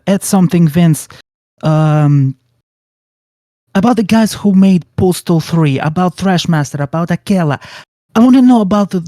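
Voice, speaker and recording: A man's voice: high voice, dark tone, dry recording. A man from Russia with a Russian accent, English not his first language, nervously asking a question. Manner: nervous, apologetic.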